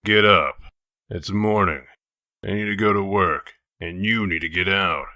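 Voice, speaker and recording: A man playing a gruff, humorless wolf-man detective in a comic dub, curt, stern and deadpan. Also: low voice, unhurried, no room sound, clean studio sound, full character voice. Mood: impatient. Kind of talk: comic dub character acting.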